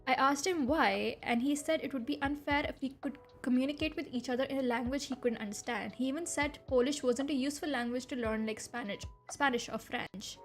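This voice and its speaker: Young woman streamer, hindi is her first language, high voice, laughs a lot, blunt and kinda incredulous. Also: a little fast, hardly any pauses, soft background music. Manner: animated, incredulous, laughs often, blunt with swearing. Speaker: VTuber streamer. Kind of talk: reading and reacting to online posts on a live stream.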